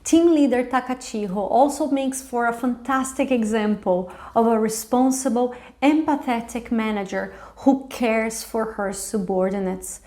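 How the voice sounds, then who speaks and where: A woman reviewer delivering semi-scripted commentary in an articulate, explanatory way with measured phrasing. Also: on the high side, a little mellow. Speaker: drama reviewer hosting own review show.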